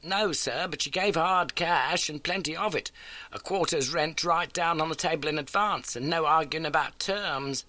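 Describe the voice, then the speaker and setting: A man's voice: a little high, moderate pace, sharp tone, dry recording, pitched above the performer's own voice. A man voicing an elderly, motherly working-class landlady in an audiobook, garrulous, earnest and folksy. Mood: chatty, earnest. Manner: chatty, folksy, dramatic storytelling. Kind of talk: audiobook character acting.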